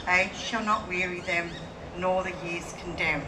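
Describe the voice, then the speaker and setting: An echoey, distant recording with clear noise carries a woman's low voice. She recites verse slowly and solemnly, as a reader at a memorial service. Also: fairly even intonation. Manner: solemn, deliberate. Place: outdoor dawn memorial service.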